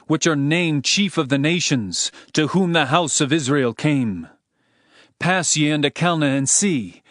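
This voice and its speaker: A man's voice: on the high side, a bit bright, no room sound, studio quality. A man narrating a dramatized Bible reading in a solemn, declamatory manner. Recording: music under the voice. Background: background music.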